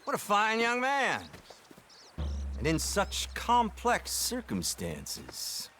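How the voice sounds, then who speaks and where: An actor playing a smug, mock-polite lawman in a video game, measured, theatrical and menacing. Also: high-pitched, huge pitch swings, bright, forceful tone, heavily acted. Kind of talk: video game cutscene acting. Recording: background noise, outdoor ambience.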